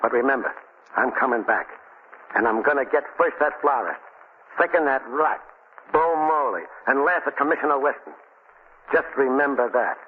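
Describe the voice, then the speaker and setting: A man's voice: medium pitch, moderate pace, pauses often, lightly acted. An American actor playing a vengeful, superstitious condemned gangster with slangy tough-guy talk in a 1940s radio drama. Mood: vengeful, menacing. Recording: narrow, hissy 1940s radio recording.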